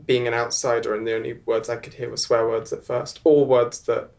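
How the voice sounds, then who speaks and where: Chatty young man, halting with ums. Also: medium pitch, steady tone, creaky, darkish tone. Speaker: filmmaker guest. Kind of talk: live panel conversation. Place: live event on stage.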